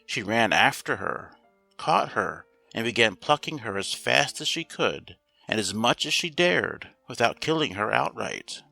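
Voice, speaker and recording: A man reads a fairy tale in a measured, expressive storytelling style. His voice is bright with a noticeable fry, set in a roomy, echoing space with light music behind it. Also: mid-range voice. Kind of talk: scripted fairy-tale narration.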